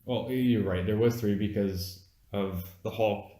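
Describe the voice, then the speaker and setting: Lower-voiced man explaining insistently, roomy echo. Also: unhurried, mellow. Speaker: co-host of a movie review podcast. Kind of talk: casual, unscripted movie review chat.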